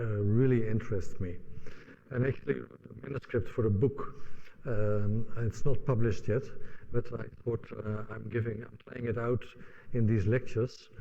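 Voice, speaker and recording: A man's voice: on the low side, warm tone, long reverb tail, highs cut off. A middle-aged man from the Netherlands with a Dutch accent, giving the opening of a lecture in a hesitant way with many fillers and restarts.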